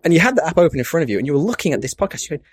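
A man hosting a podcast, chatting in quick, jokey banter. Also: a little high, sing-song, husky. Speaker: podcast host. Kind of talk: casual chat.

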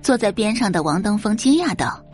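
A woman's voice: mid-range voice, animated, brisk, a little mellow, faint music behind. A woman reading scripted, dramatic audiobook narration as the narrator of a story.

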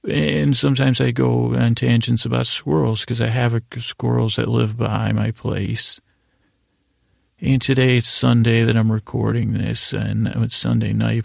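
A man's voice: mid-range voice, creaky, a little mellow, dry recording, clean studio sound. A middle-aged American man hosting a bedtime podcast, rambling hesitantly in soothing, lulling tones. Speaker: podcast host. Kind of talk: rambling bedtime monologue to help listeners sleep.

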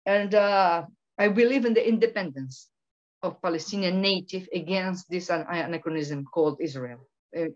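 Woman, from Venezuela, emphatic, halting, lo-fi dull recording. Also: fairly low pitch. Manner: halting, many restarts and repetitions, emphatic. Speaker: guest, political researcher. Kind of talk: impassioned interview talk. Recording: dull lo-fi sound.

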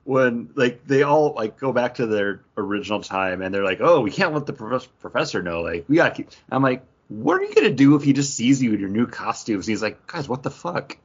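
A man co-hosting a podcast, chatting casually about comics and acting out reactions in an animated way. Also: mid-range voice, a little fast, few pauses, slightly rough, lo-fi sound. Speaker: podcast co-host.

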